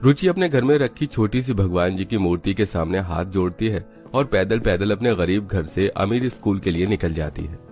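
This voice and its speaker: A man narrates a children's moral story as a scripted storyteller. His voice sits fairly low, and music plays behind him. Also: animated, moderate pace, hardly any pauses, a little mellow.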